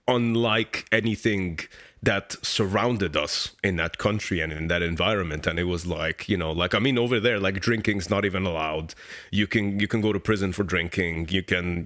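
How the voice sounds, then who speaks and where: A young man from Iran talks in a very low, deep voice, chatting loosely and eagerly with lots of fillers and the odd curse. He sounds like a fan doing a relaxed interview. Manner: loose and excitable, swearing, many fillers. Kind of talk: casual interview chat.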